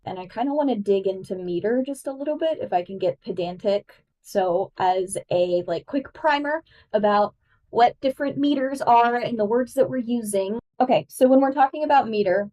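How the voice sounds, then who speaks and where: A woman's voice: mid-range voice, darkish tone, distant mic, low-quality recording. Woman explaining poetic meter, a bit off-mic. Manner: enthusiastic, explanatory, nerdy about poetry. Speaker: guest host. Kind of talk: podcast discussion.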